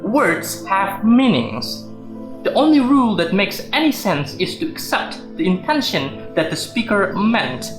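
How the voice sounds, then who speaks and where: A man's voice: high voice, very animated, a little slow. A man playing a sardonic, defensive suspended office worker in a radio drama, wordy and sarcastic. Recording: roomy echo, music underneath.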